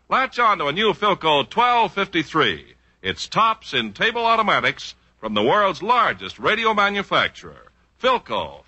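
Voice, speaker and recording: A man's voice: on the high side, pushed and bright, husky. A man announcing on 1940s radio in a punchy, theatrical manner. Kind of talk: 1940s radio narration and ad copy.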